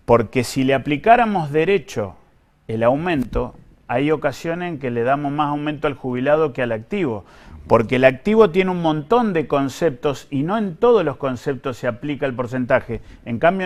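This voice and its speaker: Man, Argentine accent, mid-range voice, careful technical explanations, interview answers, measured official manner. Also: mid-range voice. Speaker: government pension official being interviewed.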